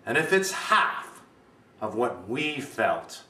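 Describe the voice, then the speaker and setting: A man's voice: medium pitch, big pitch swings, pushed and bright, exaggerated voice. Man acting an earnest aging father, echoey room. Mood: impassioned. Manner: deliberate, emphatic oratory with weighty pauses. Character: aging father, earnest and impassioned, defending young love. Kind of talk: dramatic film monologue. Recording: echoey room, distant mic, faint noise.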